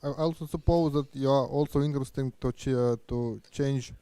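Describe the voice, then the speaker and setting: A middle-aged man hosting an interview, halting and often stumbling over his words. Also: medium pitch, steady tone, mellow, clear, resonant tone. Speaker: interviewer and host. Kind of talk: live interview chat. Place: indoor studio, seated on a sofa. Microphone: handheld microphone.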